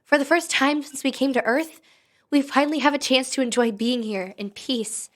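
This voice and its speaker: A woman's voice: sits high, normal speed, natural voice. A woman playing a defiant, loyal young heroine in an audio drama, earnest and emotional. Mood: sincere, hesitant.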